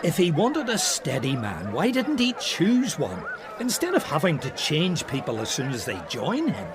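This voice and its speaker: A man's voice: a little high, huge pitch swings, normal speed, background noise. An actor playing a snobbish, mocking man at a feast in a radio drama, chuckling as he talks. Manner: sneering, amused, chuckling. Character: mocking older man, snobbish party guest.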